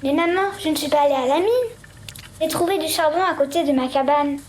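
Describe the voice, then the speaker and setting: A woman's voice: high voice, fairly quick, a little mellow, a little hiss or hum, close to an everyday voice. A female performer playing a curious, insistent young girl in a radio drama. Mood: earnest, insistent. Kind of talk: radio fiction acting.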